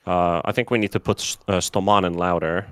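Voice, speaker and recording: A man with a Bulgarian accent casting an esports match, his voice a bit nasal and a little sore from a recent flu. He speaks in a lowish, smooth tone, relaxed and chatty with plenty of fillers. The sound is dry and close. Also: fairly quick, no echo. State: recovering from flu, slightly sore voice. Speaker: esports caster. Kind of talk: live game commentary.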